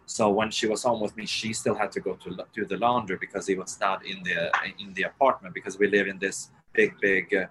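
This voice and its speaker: A man from Sweden, a guest author telling his life story in an interview, soft-spoken and halting, with many restarts. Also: low voice, little pitch movement, a little hiss or hum, rough recording.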